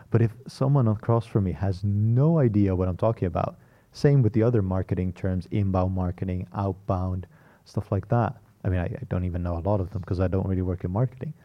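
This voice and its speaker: A man's voice: deep voice, fairly even intonation, brisk. A man whose first language is Dutch, hosting an interview in a casual, agreeable, conversational way, on a dull microphone. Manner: agreeable, checks in with "right?". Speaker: interview host.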